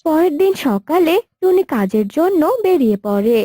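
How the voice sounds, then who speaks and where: A woman's voice: high-pitched, sing-song, normal speed, noisy background. Woman telling a cartoon story, scripted narration, music playing behind her.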